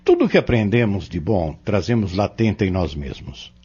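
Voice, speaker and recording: A man with a Brazilian accent playing a calm, kindly pharmacist who teaches young men in a measured, solemn way in an audio drama. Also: sits low, slightly rough, muffled recording, exaggerated voice. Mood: solemn, teaching.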